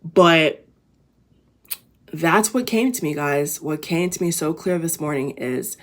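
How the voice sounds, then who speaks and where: A woman with a low, raspy voice talks to her viewers in a loose, rambling inspirational vlog. She sounds tired, and she keeps circling back with restarts and fillers as she works out her thoughts. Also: slow pace. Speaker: vlogger. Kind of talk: casual inspirational talk to camera.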